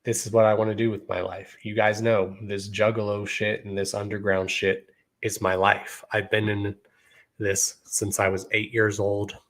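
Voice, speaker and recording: Laid-back low-voiced man in his thirties. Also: flat delivery, moderate pace, fairly bright tone. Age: 30s. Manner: laid-back, repeats "you know" and "y'all". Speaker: podcast host. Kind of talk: casual monologue to viewers.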